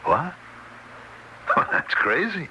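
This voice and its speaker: A man's voice: on the high side, big pitch swings, takes it slowly, sharp tone, highs cut off, full character voice. A man playing an earnest, devoted husband in a 1970s radio play, with an emphatic delivery. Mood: alarmed, pleading. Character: healthy, devoted husband who refuses to die. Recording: old noisy radio recording.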